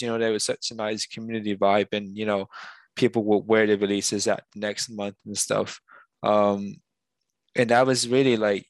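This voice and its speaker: Man in even, low-pitched casual conversation. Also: no echo, poor audio.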